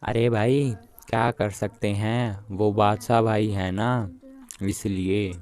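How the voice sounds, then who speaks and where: A slow, resigned elephant voiced by a man in a cartoon fable. His voice is low and he draws his words out hesitantly. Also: steady tone, darkish tone.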